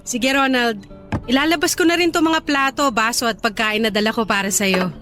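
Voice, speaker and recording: A woman acting as a caring young girlfriend in a radio drama. She speaks gently in a slightly high voice, with faint music behind her. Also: normal speed, lightly acted. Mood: caring, everyday. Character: caring young girlfriend who delivers home-cooked meals. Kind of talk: Tagalog radio drama dialogue.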